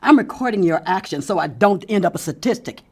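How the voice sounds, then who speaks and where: Indignant neighbour with swooping pitch. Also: female voice, animated, quick pace, darkish tone, husky. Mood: indignant, officious. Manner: officious, insistent, indignant. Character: indignant, officious female suburban resident. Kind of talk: audio fiction acting.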